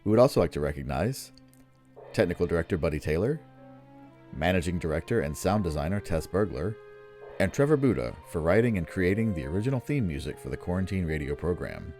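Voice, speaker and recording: Low-pitched male radio announcer. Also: darkish tone. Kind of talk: scripted broadcast credits announcement.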